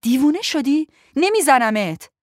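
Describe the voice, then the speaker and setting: A woman's voice: high voice, dry recording, studio quality, pitched above the performer's own voice. A woman voicing a frightened, bewildered young woman in an audiobook story, shaky and hesitant. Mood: incredulous.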